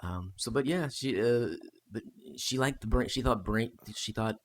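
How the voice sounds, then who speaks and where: A man's voice: medium pitch, moderate pace. An American man co-hosting a podcast, chatting casually about history and rambling with fillers and restarts. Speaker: podcast co-host.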